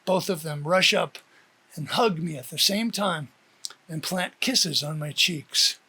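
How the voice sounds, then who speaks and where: A man reading his own story as a first-person audiobook, with expressive storytelling. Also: fairly high pitch, slow pace, slightly rough. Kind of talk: first-person scripted narration.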